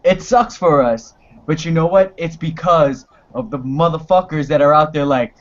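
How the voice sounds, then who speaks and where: A young man speaking as a guest in a casual video-call chat, measured, candid and profane. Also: on the high side, dull audio. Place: small room indoors. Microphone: headset on a video call.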